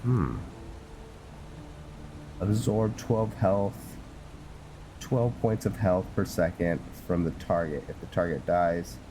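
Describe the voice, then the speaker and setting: Man, very low voice, slow unhurried pace, casual gaming commentary, hesitant, talking to himself. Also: steady tone, noisy background. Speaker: gamer, host of a roleplay series. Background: video game music.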